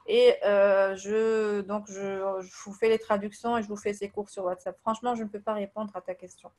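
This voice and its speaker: A woman from Tunisia whose first language is Arabic, giving a religious lesson in French, hesitating often with fillers, reading translations and reciting verses quickly. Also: medium pitch, level tone, darkish tone. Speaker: religious teacher. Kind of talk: religious lesson over voice messages.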